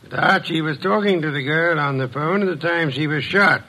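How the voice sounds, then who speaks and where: An actor playing a fussy, imperious genius detective with precise, formal diction in an old-time radio drama. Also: male voice, fairly high pitch, moderate pace, pushed and bright, low-quality recording. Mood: smugly insistent. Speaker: private detective.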